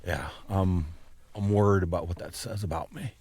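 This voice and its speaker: A man playing an exasperated support agent in a comedy phone sketch, sarcastic and grudging, with halting delivery. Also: deep voice, darkish tone, husky, no room sound.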